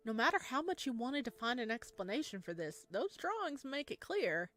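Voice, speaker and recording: A woman's voice: on the high side, very animated. A woman reading a visual novel as a solemn, suspenseful narrator of a creepy horror story. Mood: uneasy, suspenseful. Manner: slow, hushed, suspenseful. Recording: music behind the voice.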